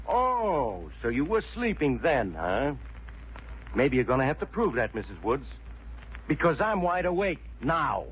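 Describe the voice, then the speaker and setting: An actor playing a blunt, suspicious police inspector with an American accent in a 1940s radio crime drama, accusing and sarcastic. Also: male voice, mid-range voice, very animated, measured pace. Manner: hard-boiled, accusing, sarcastic. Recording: muffled, hissy old radio recording.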